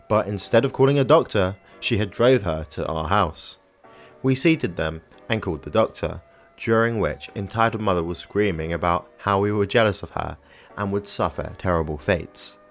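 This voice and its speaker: A man narrating online posts as scripted storytelling, with an even, matter-of-fact reading. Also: lowish voice.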